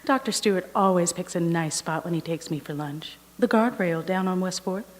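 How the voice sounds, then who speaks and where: A woman's voice: fairly low pitch, moderate pace, close to an everyday voice. A woman playing a breezy, self-satisfied office colleague in a radio drama, chatty and airy. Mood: breezy, smug. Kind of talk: stage radio drama acting. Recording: echoey hall, live stage performance.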